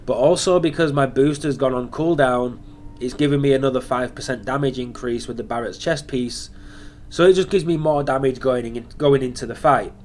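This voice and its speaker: A man's voice: medium pitch, darkish tone, a little hiss or hum. A man hosting a gaming channel, talking through a build in casual commentary, sounding nasal and sniffly from hay fever. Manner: chatty, explaining step by step.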